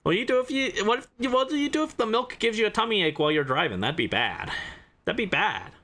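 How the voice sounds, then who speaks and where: A man's voice: sits high, animated, fast, sharp tone. A man hosting a livestream, chatting casually while he plays a game. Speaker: livestream host. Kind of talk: casual game stream chat, reading dialogue aloud.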